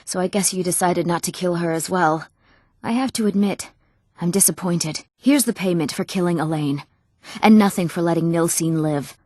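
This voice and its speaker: A woman's voice: lowish voice, moderate pace, mellow, dry recording, clean studio sound, close to an everyday voice. A woman voicing an emotive, dramatic young woman in a fantasy video game. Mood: bitter. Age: young adult. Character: eager, wronged young woman of a fantasy world. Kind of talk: video game character voice lines.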